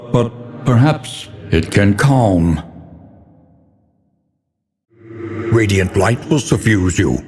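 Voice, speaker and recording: A solemn narrator of a ruined gothic world, speaks with heavy, foreboding theatricality. His voice is low and very unhurried, each phrase measured out for a dark fantasy game. A long reverb trails behind the words. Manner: theatrical, ominous, measured, florid. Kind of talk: dramatic scripted game narration.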